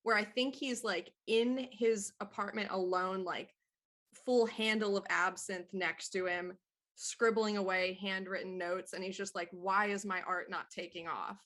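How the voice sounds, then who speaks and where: A woman's voice: medium pitch, steady tone, a little slow, fairly bright tone. A woman who works as a voice actor, talking casually in a panel chat.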